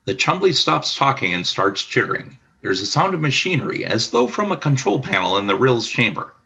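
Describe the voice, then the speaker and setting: A man's voice: medium pitch, sing-song, brisk, creaky, bright, forceful tone, slight background noise. A man reading scripted narration for a radio play.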